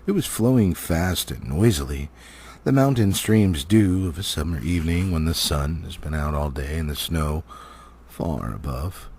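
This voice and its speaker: A man reading a fantasy storybook aloud in an animated, expressive way, stumbling now and then. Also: a bass voice, normal speed, a little hiss or hum. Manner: animated, slightly stumbling cold read. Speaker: amateur audiobook reader.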